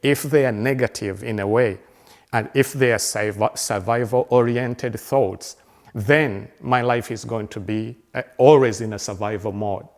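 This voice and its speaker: Man in his fifties from Uganda, low gravelly voice, earnest, halting delivery full of fillers and restarts. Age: 50s. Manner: halting, with many fillers, restarts and repeated words; earnest and reflective. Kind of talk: reflective personal conversation.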